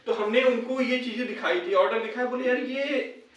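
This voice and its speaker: High-voiced young man in a very echoey room. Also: fairly even intonation, moderate pace, highs cut off. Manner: repetitive, explanatory, urging. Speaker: video host addressing fellow job candidates. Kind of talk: informal update and appeal to viewers. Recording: very echoey room, distant microphone.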